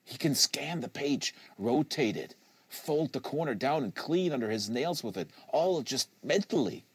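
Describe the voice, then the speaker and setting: A man narrates literary fiction in a measured, expressive way. His voice sits at an average pitch, recorded close on a studio mic in a very dry room. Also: breathy edge, no echo. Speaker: audiobook reader and livestream host. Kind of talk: live literary novel reading, acted dialogue. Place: small sound-treated studio. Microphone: close studio microphone.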